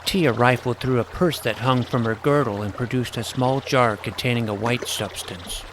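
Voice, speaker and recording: A man's voice: mid-range voice, normal speed, a little hiss or hum, lightly acted. A man playing an eager boy adventurer who tells his fantasy story in a florid, wry way, with acted dialogue. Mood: wry, wistful. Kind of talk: scripted first-person story narration with acted dialogue. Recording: music under the voice. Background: music.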